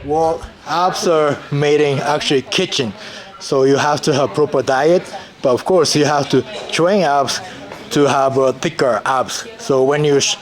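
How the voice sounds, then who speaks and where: A man from Japan with a Japanese accent talks informally as a trainer, haltingly repeating phrases as he explains things. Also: a little high. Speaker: fitness trainer and gym co-owner. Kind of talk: informal exercise demonstration and chat. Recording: busy crowd noise behind.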